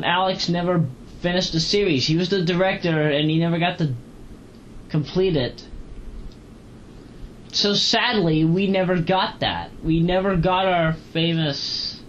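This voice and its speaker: A person giving a casual, rambling review as an audio log, often repeating and correcting themself, recorded at home. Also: mid-range voice. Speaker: amateur online reviewer. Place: at home, moving between kitchen and bedroom. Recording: muffled, noisy home audio with fan. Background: fan running.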